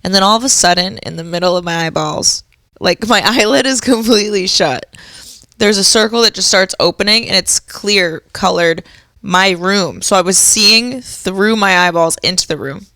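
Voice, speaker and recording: A woman's voice: medium pitch, normal speed, pushed and bright, no room sound. A woman from Boston co-hosting a casual comedy podcast, telling rambling stories and giggling as she goes. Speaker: podcast co-host. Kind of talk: casual stoner comedy chat.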